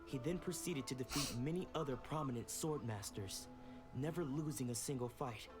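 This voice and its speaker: A man's voice: mid-range voice, moderate pace, close to an everyday voice. Male actor as loyal young dojo student for a game, protective, polite formal diction, music underneath. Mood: apologetic, earnest. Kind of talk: video game dialogue acting.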